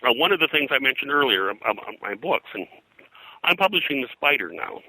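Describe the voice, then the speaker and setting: An older man reminiscing as an interview guest, rambling and halting, often repeating words as he goes. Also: medium pitch, big pitch swings, bright, forceful tone, no echo, low-quality recording. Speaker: interview guest, pop culture historian and pulp publisher.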